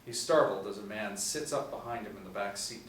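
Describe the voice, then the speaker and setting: Low-pitched man narrating in an echoey room. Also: brisk. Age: 40s. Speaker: narrator of stage directions. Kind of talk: scripted narration. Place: small room indoors. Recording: roomy, distant mic, faint noise.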